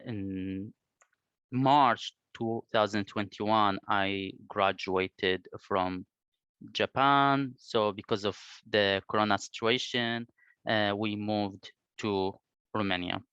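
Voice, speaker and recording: A man from Iraq in his thirties, a native Arabic speaker, answering interview questions slowly with many hesitations. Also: fairly low pitch, steady tone, a bit bright, clean tone, dry recording, muffled recording. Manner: slow, hesitant, many fillers. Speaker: researcher, interview guest.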